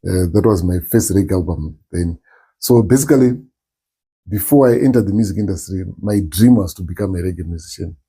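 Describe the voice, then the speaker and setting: A middle-aged man with a strong Zimbabwean accent, answering interview questions thoughtfully with many pauses and restarts. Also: sits low, dark tone, breathy edge, soft background music. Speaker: interview guest, musician. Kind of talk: relaxed interview talk.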